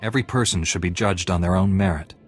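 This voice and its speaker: An actor playing a calm, fair-minded heroic knight in a video game, speaking in a measured, formal way. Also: male voice, low voice, slightly rough, faint music behind. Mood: firm, measured. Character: calm, noble male Jedi knight, fair-minded hero. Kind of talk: scripted video game dialogue.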